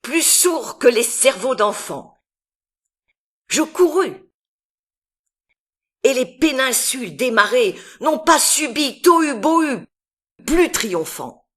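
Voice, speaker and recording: A woman giving a dramatic, expressive recitation of literary poetry, with deliberate phrasing and long pauses between phrases. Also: sits high. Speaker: poetry reader.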